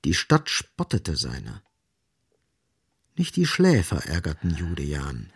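Gravelly, expressive male radio-play narrator. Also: a bass voice, slow. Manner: grave, short emphatic phrases.

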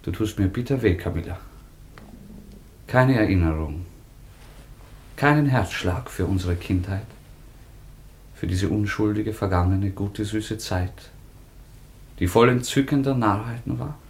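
An actor playing an earnest, wounded young suitor in a theatrical radio play. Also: male voice, on the low side, a little slow, pauses often, slight background noise. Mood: hurt, pleading.

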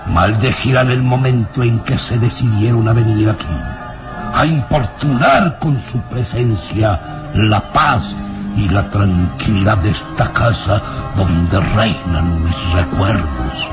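A man's voice: lowish voice, takes it slowly, over music. An actor playing a sinister, gloating old baron in a radio serial, with a theatrical, menacing way of drawing out his words.

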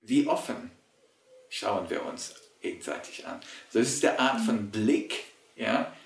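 Man being interviewed, gravelly voice, keeps saying ja, echoey room. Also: fairly low pitch, expressive, measured pace. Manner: hesitant, repeats words, filler "ja". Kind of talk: spontaneous interview speech. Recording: roomy interview recording.

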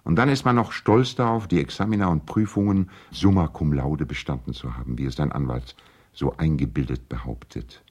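A gentlemanly lawyer and concerned old friend in a vintage radio play. He has a low, deep voice and delivers his letters in an earnest, personal, pleading way. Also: fairly quick, husky. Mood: wry, confiding. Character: courteous, worried male lawyer and loyal family friend. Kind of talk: 1970s German radio play, letters read aloud.

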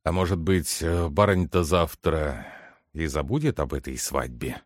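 A man's voice: very deep voice, lively intonation, measured pace, no echo, studio quality, pitched below the performer's own voice, full character voice. A man voicing an impatient, condescending head butler in a storybook, brusque and commanding as he questions the servants. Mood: musing. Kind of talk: dramatic literary audiobook character reading.

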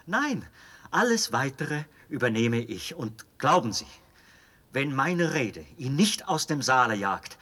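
An actor playing a fanatical officer in a radio play, speaking with an insistent, commanding fervour and a husky voice. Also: male voice, mid-range voice, very animated, takes it slowly, pushed and bright, heavily acted. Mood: fervent, urging. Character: fanatical officer devoted to an old execution machine. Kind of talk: German radio play acting.

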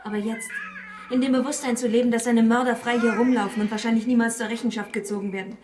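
Radio crime play: anxious, peacemaking young-mother role for a woman, higher register, faint music. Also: steady tone, fast, a little mellow, close to an everyday voice. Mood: weary, conciliatory. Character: worried, conciliatory farmer's wife and mother. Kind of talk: radio crime drama acting.

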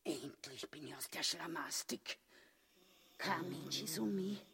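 A man playing a greedy, sharp-tongued, self-pitying wife in a radio play, with a raspy voice and Austrian dialect. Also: on the high side, slow pace, heavily acted. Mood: self-pitying, weary. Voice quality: raspy, creaky. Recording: long reverberant hall echo.

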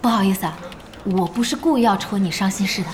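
A young woman playing a spirited, bossy gamer in an audio drama, brisk and mocking in tone. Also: medium pitch, a little mellow, a little hiss or hum, close to an everyday voice. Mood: teasing. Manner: brisk, mocking, big-sisterly.

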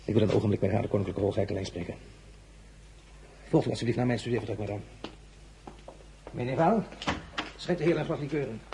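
An actor playing a commanding, weary emperor in a radio drama, halting and imperious, with a nostalgic streak. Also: male voice, fairly low pitch, vocal fry, noisy background. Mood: imperious, stern. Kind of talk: dramatic radio-play acting.

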